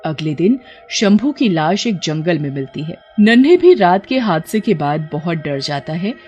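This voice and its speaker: A woman's voice: on the low side, very animated, measured pace, darkish tone, husky, soft background music. A woman reading scripted narration for a horror cartoon story.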